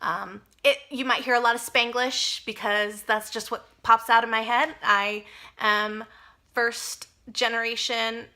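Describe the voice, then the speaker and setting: Chatty bright-voiced woman in her forties. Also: a little high, fairly even intonation, a little slow. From United States. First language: Spanish. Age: 40s. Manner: chatty, rambling, self-deprecating with frequent fillers. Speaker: vlogger. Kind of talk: casual personal vlog talk.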